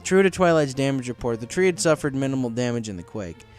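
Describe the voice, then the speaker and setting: A man's voice: mid-range voice, expressive, quick pace, a little mellow. A man narrating a scripted story as an audiobook reader, in a dramatic storytelling manner. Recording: music behind the voice.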